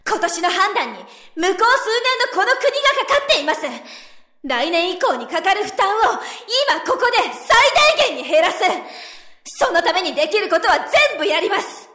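Woman acting a fiery, very high-voiced official. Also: sing-song, moderate pace, heavily acted. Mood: furious, defiant. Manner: blunt, forceful. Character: outspoken, fiery, sharp-tongued young female subordinate official. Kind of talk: radio drama acting. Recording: roomy echo with faint music.

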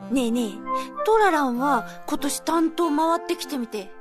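A woman playing an easygoing, gently teasing young male colleague in a radio drama, with a soft, casual manner. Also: high-pitched, animated, unhurried. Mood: warm, reassuring. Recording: music under the voice.

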